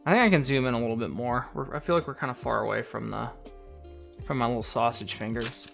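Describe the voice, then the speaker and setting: A man's voice: medium pitch, quick pace, creaky, a bit bright, faint music behind. A man chatting casually on a live stream, reading viewer donations and comments aloud. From United States. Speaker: card collector streamer.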